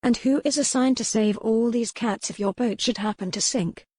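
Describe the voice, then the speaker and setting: A woman's voice: a little high, normal speed, mellow, husky, no echo, clean studio sound, pitched above the performer's own voice. A woman voicing a defiant young lady captive in an audiobook romance, formal and pert with a challenging manner. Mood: curious, teasing. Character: defiant, sharp-witted young lady captive with indomitable will.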